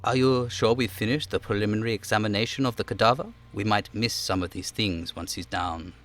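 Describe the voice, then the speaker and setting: A man playing a measured, analytical police detective in a game, composed and methodical in how he talks. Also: on the low side, a bit bright, lightly acted.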